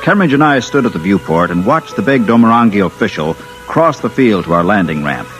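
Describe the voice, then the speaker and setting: An American actor playing a wry Earth engineer in a 1950s radio drama, narrating and talking. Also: male voice, fairly low pitch, sing-song, brisk, fairly bright tone. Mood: dry, wry. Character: wry male Earth engineer recounting his space mission. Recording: muffled old radio recording with music.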